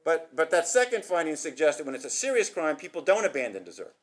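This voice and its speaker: A middle-aged man giving an academic conference talk at a podium, brisk and gesturing, posing rhetorical questions. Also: on the high side, sing-song. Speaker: conference speaker. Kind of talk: academic presentation. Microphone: podium microphone.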